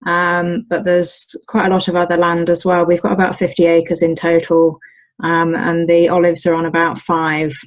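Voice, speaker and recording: A British woman talking casually in an interview as the owner of an olive grove, pausing often with ums and restarts. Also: on the low side, monotone. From United Kingdom. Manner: hesitant, many ums and restarts.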